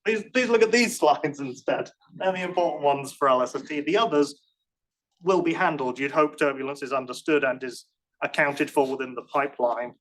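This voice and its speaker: A man's voice: on the high side, moderate pace, fairly bright tone, low-quality recording. A man answering questions after a conference talk in a lecture room, speaking casually with frequent restarts and self-deprecating asides. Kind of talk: technical Q&A, casual and hedging.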